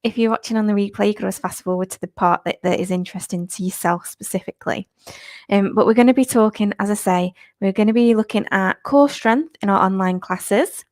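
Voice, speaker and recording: Chatty fitness instructor. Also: female voice, medium pitch, brisk, dry recording, studio quality. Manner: chatty, upbeat, laughs often. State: slightly croaky. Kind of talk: live-stream chat with viewers. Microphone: new clip-on microphone.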